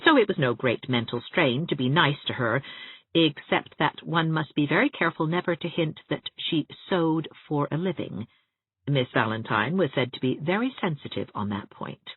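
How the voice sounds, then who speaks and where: A woman reads literary fiction as an audiobook narrator. Her voice is a little husky with very lively melody, and the recording is dry but narrow, like a phone line. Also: sits low, moderate pace, no room sound.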